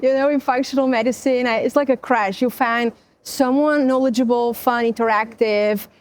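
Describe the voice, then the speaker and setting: A woman hosting a podcast, introducing her guest with enthusiastic, effusive warmth in a conversational interview. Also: fairly high pitch, steady tone, normal speed. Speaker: podcast host.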